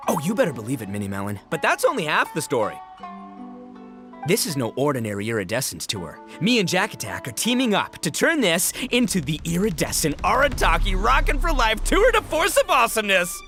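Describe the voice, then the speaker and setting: A man's voice: high-pitched, wildly swooping pitch, fairly quick, sharp tone, soft background music, exaggerated voice. A man voicing a boisterous, boastful young oni gang boss and goofy rocker in a video game, bombastic and slangy. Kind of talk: animated video game voice acting.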